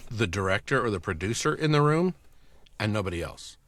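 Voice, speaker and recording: A man's voice: fairly low pitch, lively intonation, brisk, a bit bright, a little hiss or hum. A man telling anecdotes in casual podcast chat as a guest voice actor.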